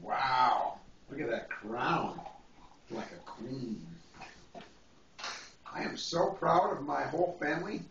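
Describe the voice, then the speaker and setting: A man's voice: mid-range voice, lively intonation, takes it slowly, vocal fry, pauses often, distant mic. A man reading a picture book to a small child, playfully drawing out words and adding asides. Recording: echoey, noisy, muffled home video from afar.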